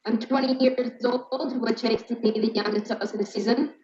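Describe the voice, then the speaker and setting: Excited young woman in a roomy, lo-fi clip. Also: on the high side, moderate pace. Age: 20s. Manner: excited, repeated takes of an introduction. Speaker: show host clip. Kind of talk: audio clip played for lip sync.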